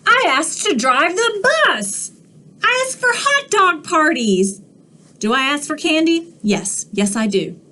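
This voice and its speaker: A woman voicing a pushy, whiny young male pigeon in a storybook read-aloud, loud and demanding. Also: high voice, very animated, slow pace, pitched above the performer's own voice, exaggerated voice. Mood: excited, demanding.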